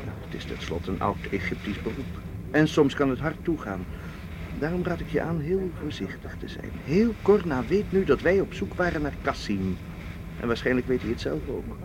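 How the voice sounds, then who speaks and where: Radio drama spy, seasoned and protective, firm explainer, medium pitch, clearly noisy recording. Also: male voice, sing-song, normal speed. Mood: stern, cautioning. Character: seasoned male spy, cautious, protective, worldly-wise. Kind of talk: Dutch radio play acting.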